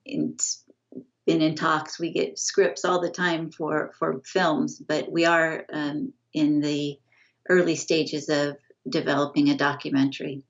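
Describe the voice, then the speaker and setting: A middle-aged woman talking as an interview guest over a video call, halting and full of restarts. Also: sits low, level tone. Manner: halting, many restarts and fillers. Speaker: guest, family estate representative. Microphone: video call, earbuds. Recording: dull lo-fi video call audio.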